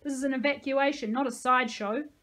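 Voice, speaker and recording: Woman narrator as tough older female sergeant, gravelly, bossy, folksy, motherly, audiobook character. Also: fairly high pitch, fairly even intonation, fairly quick, natural voice. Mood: commanding, loud. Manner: bellowing orders, folksy endearments. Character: tough, bossy grey-haired woman army reservist, motherly toward kids.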